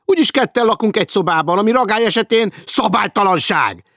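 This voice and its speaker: Man voicing a loud, indignant idler. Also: fairly high pitch, no room sound, studio quality, voice pushed up, lightly acted. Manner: loud and protesting. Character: indignant lazy man refusing work.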